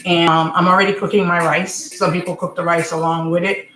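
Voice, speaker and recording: A woman in her forties from Senegal hosting a casual live cooking stream from her home kitchen, chatty and rambling, often asking "okay?", with a slightly hoarse voice. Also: lowish voice, little pitch movement, brisk, fairly bright tone, roomy sound, a little hiss or hum, poor audio. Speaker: home cook, channel host. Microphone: computer or phone camera mic.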